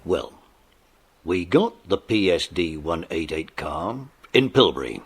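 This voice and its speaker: An actor playing a hearty, authoritative police sergeant in an audiobook drama. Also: male voice, sits low, big pitch swings, takes it slowly, breathy edge, exaggerated voice. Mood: jovial, informative. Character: hearty, brisk police sergeant, grateful to child detectives. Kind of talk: audiobook radio-play dramatisation.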